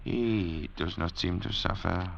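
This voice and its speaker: An actor playing a grave, lecturing ship's doctor stranded on a raft, who also narrates, in 1940s radio acting style. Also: male voice, sits low, gravelly, highs cut off, slight background noise, heavily acted. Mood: solemn. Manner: measured, pronouncing judgments in a lofty tone.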